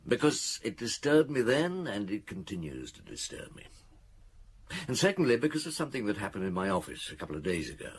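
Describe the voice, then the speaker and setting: A man acting in a radio drama as a grave, methodical police chief inspector, speaking in a measured, formal, probing way. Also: fairly low pitch, sing-song, slightly rough, full character voice. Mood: reflective.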